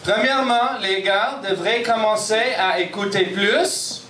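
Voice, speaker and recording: Man in his thirties, anglophone Montreal accent, English native speaker, stand-up comedy, high-pitched, echoey room picked up from afar. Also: measured pace, distant mic, noisy background. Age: 30s. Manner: self-deprecating, punchy, frequent "ok?" check-ins. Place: comedy bar stage.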